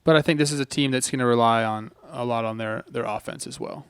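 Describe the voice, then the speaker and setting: Smooth-voiced man giving casual sports analysis. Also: medium pitch, steady tone, fast talker, dry recording. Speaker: podcast co-host, former ballplayer.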